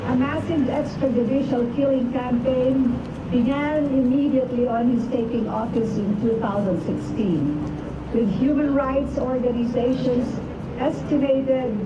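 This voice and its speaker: A distant, echoing recording with loud traffic noise carries an older woman's high voice. She delivers a protest speech outdoors in a deliberate, declamatory way, as spokesperson for an activist group. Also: dull audio. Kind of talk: protest rally speech, read statement. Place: outdoors on a city street outside a hotel.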